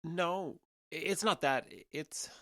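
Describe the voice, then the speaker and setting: A man's voice: on the high side, slow, a bit bright, dry recording, studio quality. An American man playing a guarded, sincere alien who passes for a young adult, explaining himself hesitantly in a naturalistic audio drama. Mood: hesitant, earnest.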